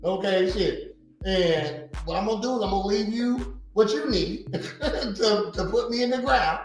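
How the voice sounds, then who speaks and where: A man's voice: sits high, unhurried. A man in his forties co-hosting a podcast in rambling, profane casual chat, laughing often and repeating "you know what I mean". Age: 40s. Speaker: podcast co-host. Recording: very echoey room, faint music.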